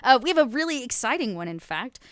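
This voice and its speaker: Female streamer, high smooth voice, dry close sound, playful announcement delivery. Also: big pitch swings, fast talker, fairly bright tone, no room sound. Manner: chatty, playful. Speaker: cast member making announcements. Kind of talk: casual live stream announcement.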